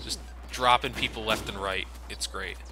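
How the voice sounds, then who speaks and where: A young man giving relaxed, casual commentary over a game, swearing freely and laughing often. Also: lowish voice, moderate pace, music in the background. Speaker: let's play commentator. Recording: game gunfire noise behind. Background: game gunfire and ambience.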